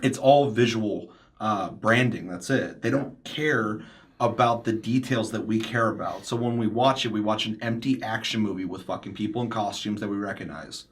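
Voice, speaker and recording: A man's voice: fairly low pitch, normal speed. Emphatic, opinionated man ranting casually. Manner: mimics other people in quoted asides. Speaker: podcast host. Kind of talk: casual opinionated panel discussion.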